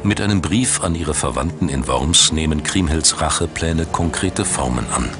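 Deep-voiced man narrating a documentary. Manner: measured, dramatic storytelling. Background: background music.

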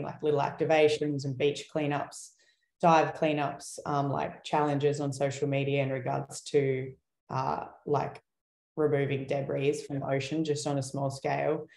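A young woman speaking casually as a guest on an online panel, with lots of fillers and soft laughs over a video call. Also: low-pitched, little pitch movement, unhurried. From Australia. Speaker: guest, wildlife activist. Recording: roomy video call audio.

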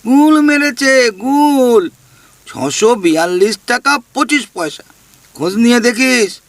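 A man's voice: very high voice, animated, slow pace, exaggerated voice. A man voicing a mischievous male ghost in a radio play, who gossips slyly and needles the listener. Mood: teasing. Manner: sly, needling, spills secrets.

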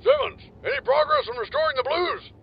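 An actor playing a gruff, gung-ho older male army sergeant who barks folksy outbursts. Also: very high-pitched, sing-song, brisk, muffled recording, full character voice. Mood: barking.

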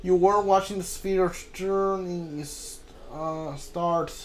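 A man gives casual commentary as he streams a video game. His voice is high, he talks haltingly and repeats words, and there is noticeable noise in the background. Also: fairly even intonation, rough recording.

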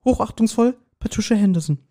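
Man putting on a high-pitched formal woman's voice. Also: expressive, dark tone. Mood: formal, composed. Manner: measured, formal letter reading. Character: polite formal woman reading a threatening letter.

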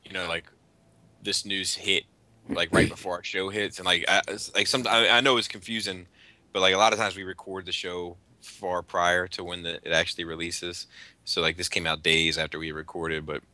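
A man's voice: low-pitched, fairly even intonation, moderate pace, pushed and bright, no room sound. A man hosting a casual panel podcast, chatting about hobby news with some swearing. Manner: profane. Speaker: podcast host. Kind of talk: casual chat, panel discussion of toy news.

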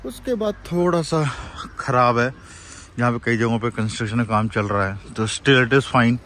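A man's voice: mid-range voice, normal speed, a bit bright, slight background noise. A man in his thirties, a travel vlogger, chatting casually and exclaiming over the scenery, filming himself outdoors on a phone at arm's length. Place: outdoors on a mountain road.

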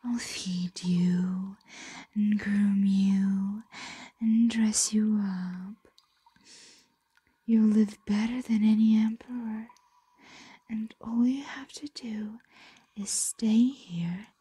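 A woman voicing a possessive, condescending ancient dragon in a breathy, coaxing ASMR roleplay whisper. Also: mid-range voice, fairly even intonation, drawn-out words, long pauses, mellow, no room sound, exaggerated voice.

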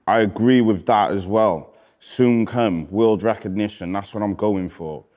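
A man in his thirties from Wales with a Cardiff accent, a rapper casually reading out comments in a relaxed, slangy way that often trails off quietly. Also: lowish voice, a little slow. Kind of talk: casual chat. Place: indoor studio with graffiti wall.

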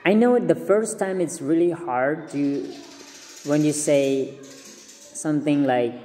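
Slow, mellow Cambodian-accented young man. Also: on the high side, big-room sound. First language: Khmer. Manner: earnest, repeats words and restarts often. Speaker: vlogger giving language tips. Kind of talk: casual talk to camera. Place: small indoor room. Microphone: phone at arm's length.

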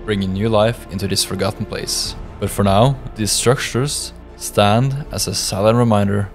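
Young man, lowish voice, scripted measured voice-over, faint music underneath. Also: fairly even intonation. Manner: measured, explanatory reading. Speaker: vlog narrator.

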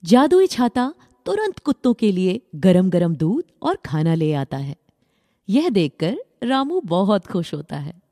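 Mellow, expressive woman storyteller. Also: mid-range voice, unhurried, no echo, clean studio sound. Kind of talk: scripted children's story narration.

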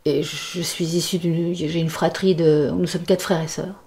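Woman around 60, lowish soft mellow voice, thinking out loud in an interview, lots of repeats. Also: level tone, measured pace. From born in the United States, moved often. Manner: hesitant, searching, repeating words for emphasis. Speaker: puppeteer. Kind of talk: reflective documentary interview. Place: furnished workshop room, indoors.

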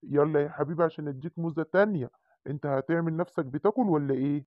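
A man's voice: fairly high pitch, very fast, no room sound, highs cut off, lightly acted. A man voicing a kindly dog doctor who coaxes children into their shots, with an Egyptian accent. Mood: soothing. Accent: Egyptian Arabic. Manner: soothing, cajoling.

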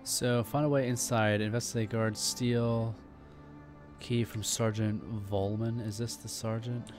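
A man's voice: mid-range voice, little pitch movement, measured pace. A man hosting a casual let's play, chatting in a laid-back way with jokey asides. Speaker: gaming channel host. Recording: loud game music behind voice.

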